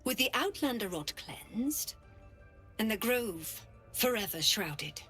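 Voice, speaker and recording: A woman playing a stern, zealous druid leader in a video game, proud and menacing, with a solemn, deliberate delivery. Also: a little high, big pitch swings, a bit bright, breathy edge, soft background music, heavily acted. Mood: threatening. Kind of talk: dramatic video game acting.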